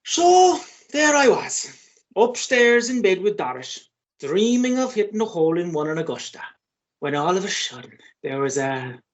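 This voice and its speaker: A woman's voice: mid-range voice, sing-song, moderate pace, lo-fi sound, lightly acted. A woman with an Irish accent playing a cocky, roguish male golfer in an amateur radio play. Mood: boastful. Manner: blustering, chatty, theatrical. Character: cocky, roguish male golf club man, storyteller.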